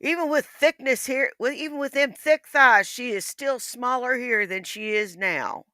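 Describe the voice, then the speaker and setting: A woman's voice: on the high side, moderate pace, sharp tone, no echo, studio quality. A woman with a Southern US accent chatting casually in a rambling, playful way. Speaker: livestreamer. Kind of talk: casual chat.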